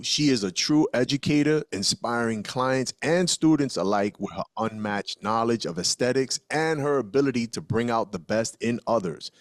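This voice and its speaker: A man's voice: medium pitch, no room sound, clean studio sound. A man hosting a podcast, introducing and interviewing a guest with emphatic enthusiasm. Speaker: podcast host.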